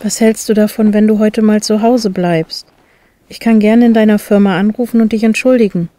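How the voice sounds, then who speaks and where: An actress playing a gentle, concerned wife with uneasy forebodings in an audio drama. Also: female voice, medium pitch, steady tone, moderate pace, lightly acted. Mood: worried, caring.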